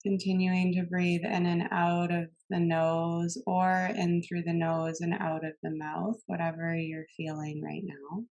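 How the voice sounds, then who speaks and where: Woman, meditation instructor, calm and gentle, even level intonation, very slow pace, soothing cues. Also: lowish voice, dull audio. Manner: calm, gentle, unhurried cueing with long pauses.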